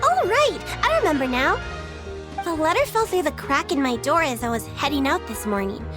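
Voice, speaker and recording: A woman's voice: high voice, very animated, normal speed. A woman voicing a cheerful, naive young girl detective in a video game, chirpy and scatterbrained, with music playing behind. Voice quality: bright and girlish.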